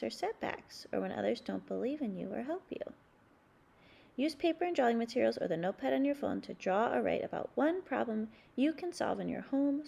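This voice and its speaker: A woman reading aloud as a children's book host, in deliberate, expressive phrasing meant for young listeners. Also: on the high side, gravelly, slightly rough. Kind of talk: read-aloud storybook narration with discussion questions.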